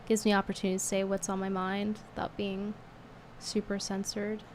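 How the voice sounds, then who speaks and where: Woman streamer losing her voice, scornful chatty tone, middle pitch, bit of noise. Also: monotone, moderate pace, darkish tone. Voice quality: strained, hard to get sound out. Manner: blunt, scornful and chatty, reading tips aloud. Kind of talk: casual livestream chat.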